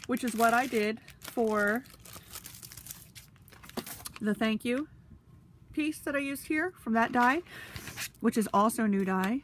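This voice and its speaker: A woman's voice: on the high side, noisy background. A woman with a stuffy, sniffly nose, chatting casually with lots of fillers while she demonstrates a craft tool. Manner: talks while handling tools. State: congested, sniffly.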